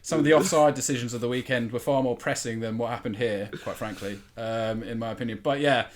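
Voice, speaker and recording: Measured man, mid-pitched, discussing football. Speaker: podcast panellist.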